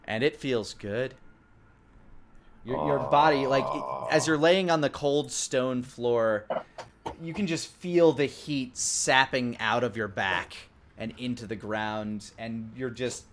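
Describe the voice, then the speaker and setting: A man runs a tabletop fantasy game as dungeon master, with an ordinary mid-pitched voice. He describes scenes conversationally and feels his way through them in halting phrases. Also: a bit bright, slight background noise. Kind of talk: improvised tabletop game narration.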